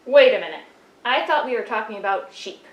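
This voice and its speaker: A touchy, indignant sheep, voiced by a woman, protests in a huffy, defensive tone and keeps repeating her questions. The voice sits a little high and rings in an echoey church room. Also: animated, brisk, natural voice. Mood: puzzled. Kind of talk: church puppet show.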